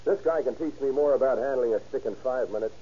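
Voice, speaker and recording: An actor playing a friendly young flying student in a 1930s radio drama, on a dull hissy old recording. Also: male voice, mid-range voice, quick pace, dark tone, close to an everyday voice.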